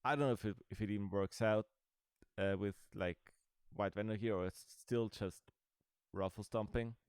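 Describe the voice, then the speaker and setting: A man's voice: on the low side, steady tone, normal speed, clear, resonant tone, no room sound. A man giving casual, rambling commentary over a game he is playing, with dry, sarcastic asides. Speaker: gaming video creator.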